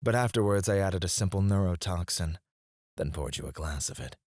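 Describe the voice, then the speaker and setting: A man's voice: deep voice, animated, a little mellow, no room sound, clean studio sound. A man playing an obsessive, possessive CEO in an ASMR roleplay, speaking in a smug, menacing and condescending way. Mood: cold, controlling. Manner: smooth-talking, condescending, drawn-out deliberate phrasing.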